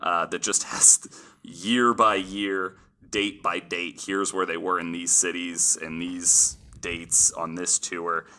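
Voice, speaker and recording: A man's voice: low voice, flat delivery, slow, pushed and bright. A man hosting a podcast, telling a story casually from notes in informal language with the odd swear word.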